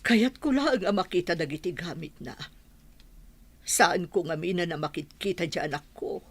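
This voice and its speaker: A woman's voice: on the low side, lively intonation, normal speed, breathy edge. A woman with a northern Philippine accent playing an insistent mother and madam of the house in a radio drama. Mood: stern.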